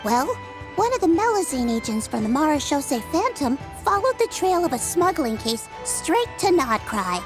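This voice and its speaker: High-voiced, earnest young female investigator. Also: sing-song, normal speed, rough recording. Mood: polite. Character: polite, earnest young woman, law-minded investigator. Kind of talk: animated game voice acting. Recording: music behind.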